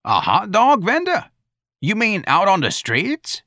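A man's voice: mid-range voice, very animated, normal speed, pushed and bright, dry recording, clean studio sound, voice pushed up. Man putting on a froggy, brash old New grandmother. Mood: nosy, blunt. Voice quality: froggy, croaking. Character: Santa, brash, blunt old Southern grandmother. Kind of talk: audiobook character dialogue.

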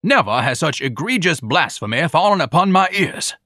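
Pompous blustering man, high-pitched, forceful, grandiose diction, indignant audiobook character. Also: big pitch swings, normal speed, dry recording, clean studio sound, full character voice. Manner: bombastic, declamatory, over-formal diction.

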